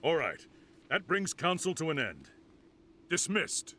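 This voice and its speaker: Bright, forceful male commander. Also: fairly high pitch, huge pitch swings, unhurried, a little hiss or hum, heavily acted. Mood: resolute. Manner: firm, businesslike, formal. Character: authoritative, resolute male commander issuing orders. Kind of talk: dramatic video game cutscene dialogue.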